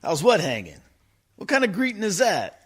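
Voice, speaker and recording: An actor playing a brash, excitable talent agent obsessed with being cool in a comic radio play. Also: male voice, high-pitched, normal speed, slightly rough, full character voice. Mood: brash, bossy.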